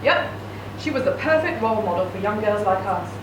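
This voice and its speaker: A woman's voice: mid-range voice, expressive, a little fast, lightly acted. A woman voicing an Indian woman back in Hong Kong, who answers in brief, eager replies. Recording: echoey room, distant mic, noisy.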